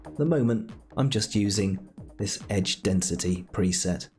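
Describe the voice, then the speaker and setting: A man presenting a casual software tutorial in a playful, chatty way with jokey asides. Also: on the low side, expressive, moderate pace, over music.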